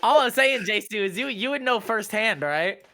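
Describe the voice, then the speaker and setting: A man's voice: high-pitched, expressive, normal speed, a crowd in the background. A man in casual banter as an esports commentator, slangy and teasing with his friends.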